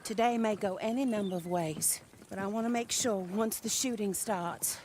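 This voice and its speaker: Vengeful Old West widow, played by a woman, mid pitch, genteel and biting, outdoor noise behind. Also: a little mellow, close to an everyday voice. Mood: guarded, resolute. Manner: formal, genteel, measured, biting. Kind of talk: cinematic western game acting.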